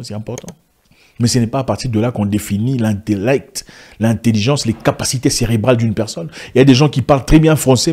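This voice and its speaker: A man's voice: medium pitch, warm tone, breathy edge. A man whose first language is an African language, lecturing viewers emphatically in an online commentary and often repeating himself. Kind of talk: direct-to-camera commentary.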